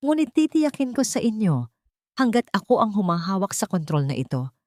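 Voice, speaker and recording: A woman's voice: mid-range voice, big pitch swings, moderate pace, darkish tone, no room sound, clean studio sound, pitched above the performer's own voice, natural voice. A woman voicing a resolute female airline captain with a Filipino accent, firm and commanding, in an audiobook drama. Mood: authoritative.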